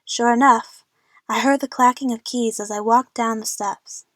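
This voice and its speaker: High-voiced woman narrator, dry sound. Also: steady tone, normal speed, a bit bright, no echo. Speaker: narrator and lead of an audio drama. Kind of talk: first-person story narration.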